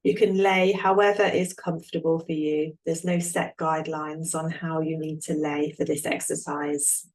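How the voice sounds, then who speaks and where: A woman leading a guided relaxation as an instructor, speaking in a calm, soothing way with long, unhurried pauses. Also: low-pitched, rough recording.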